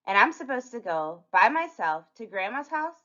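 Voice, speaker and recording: A woman's voice: fairly high pitch, big pitch swings, moderate pace, a bit bright, pitched above the performer's own voice. A woman voicing a cheeky, questioning little girl in a storybook, on a dull recording. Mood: doubtful. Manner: questioning, sassy. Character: cheeky, outspoken little fairy-tale girl.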